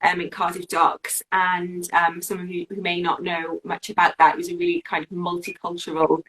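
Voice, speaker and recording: A woman's voice: fairly low pitch, monotone, moderate pace, fairly bright tone, a little hiss or hum, poor audio. A woman from Wales, a guest on a live video-call interview, talking casually with many fillers and restarts. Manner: chatty, lots of fillers and restarts.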